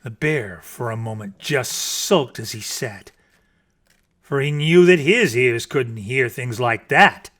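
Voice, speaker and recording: A man reading a rhyming children's story aloud in a theatrical, expressive and deliberate way. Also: mid-range voice. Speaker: storybook reader.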